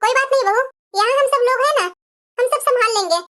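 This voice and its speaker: महिला की आवाज़ में बहुत ऊँचे सुर वाली ममतामयी सास. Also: female voice, very high voice, fast. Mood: calm, accommodating. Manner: gentle, lamenting. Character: older caring, wounded, patient mother-in-law. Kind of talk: scripted family story dialogue.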